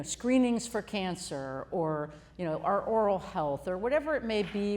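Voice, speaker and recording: A woman host introducing a health talk to a live audience in a room, chatty and loose, with frequent fillers. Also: mid-range voice. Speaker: CEO hosting a health talk.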